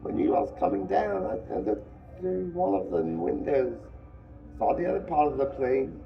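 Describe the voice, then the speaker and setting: A man reading aloud who voices an anxious, fussy plump schoolboy in a high, strained boyish voice. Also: sing-song, normal speed, creaky, lo-fi sound, faint music behind. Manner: high, strained boyish voice with working-class grammar.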